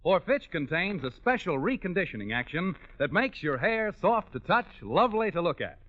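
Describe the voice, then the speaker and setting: A man's voice: high-pitched, sing-song, normal speed. A man reading a scripted 1940s radio commercial as a polished, salesmanlike announcer. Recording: tinny, narrow vintage radio recording.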